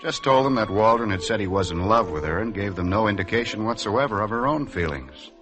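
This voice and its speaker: Easygoing man, very low voice, wry reminiscing, 1950s radio drama, music underneath. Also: animated, a little fast, fairly bright tone. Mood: wry reminiscence. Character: Mac, easygoing, reflective man recalling a summer acquaintance.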